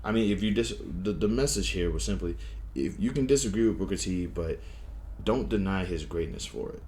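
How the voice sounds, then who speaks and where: A man's voice: deep voice, animated, brisk, a little mellow, a little hiss or hum. Rambling young man with a lisp. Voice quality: lisp and stutter. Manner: rambling, many fillers and restarts. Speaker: wrestling vlogger. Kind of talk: casual opinion monologue.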